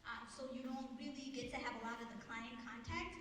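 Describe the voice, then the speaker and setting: A woman's voice: sits high, fairly even intonation, fairly quick, fairly bright tone, slight background noise. A woman who works as a social worker and public speaker, speaking on stage in an upbeat, instructive way with frequent fillers. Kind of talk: live talk on stage. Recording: echoey hall, far from mic.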